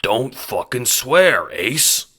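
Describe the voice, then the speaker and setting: A man voicing a gruff, stern yet bumbling gangster enforcer, sometimes faltering over his words. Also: mid-range voice, big pitch swings, drawn-out words, sharp tone, pitched above the performer's own voice, full character voice.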